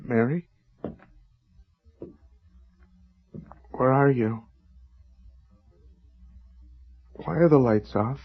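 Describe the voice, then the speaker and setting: An actor playing a desperate, frightened husband in a radio horror drama, stammering and overwrought. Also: male voice, mid-range voice, moderate pace, husky, lo-fi sound. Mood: shaken. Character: desperate, frightened husband pleading with his mad wife.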